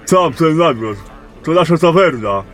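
An actor playing a bluff, bawdy camp guard in a fantasy audio drama, with a joking manner. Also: male voice, on the high side, expressive, a little slow, soft background music.

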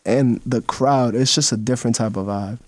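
A man's voice: medium pitch, normal speed, no room sound. A young man from the Dominican Republic, a guest in a radio studio, chatting casually with lots of laughs and fillers. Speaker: guest videographer. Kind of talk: casual radio interview chat.

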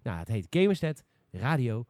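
A man's voice: mid-range voice, sing-song, moderate pace. A man hosting a casual podcast chat about games. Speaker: podcast host.

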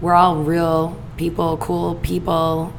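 A woman with a raspy voice co-hosting a podcast, chatting casually and filling her speech with "like" and "um". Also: fairly low pitch, completely flat delivery, slow pace, slight background noise. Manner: chatty, filler-heavy with lots of "like". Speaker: podcast co-host.

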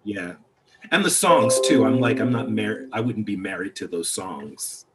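Halting, reflective man on a video call. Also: lowish voice, animated, heavy background noise. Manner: halting, reflective, many restarts. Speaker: playwright. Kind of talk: panel discussion over video call.

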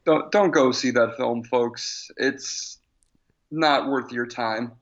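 A man's voice: mid-range voice, slow, fairly bright tone. A man co-hosting a podcast in casual conversation, on a slightly dull recording. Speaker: podcast co-host. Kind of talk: casual chat.

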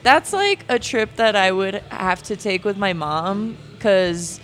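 A woman's voice: mid-range voice, animated, slow pace, fairly bright tone. A woman in her late twenties, a band's frontwoman, chatting casually in an interview with lots of fillers and frequent laughter. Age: late 20s. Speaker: band frontwoman, guest.

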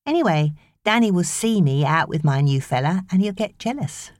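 A woman's voice: fairly low pitch, expressive, moderate pace, a little mellow, no echo, studio quality, voice pushed up, natural voice. A British woman voicing a chirpy, slangy sixteen-year-old babysitter girl in an audiobook. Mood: breezy, boastful.

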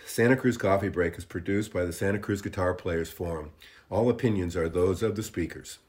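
A man reads a podcast's scripted opening evenly, like an announcer. His voice is quite deep. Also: fairly quick, a little mellow. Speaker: show announcer.

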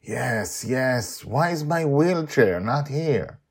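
Man voicing an earnest young woman athlete, raspy. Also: mid-range voice, animated, slow, pitched above the performer's own voice, full character voice. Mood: bewildered.

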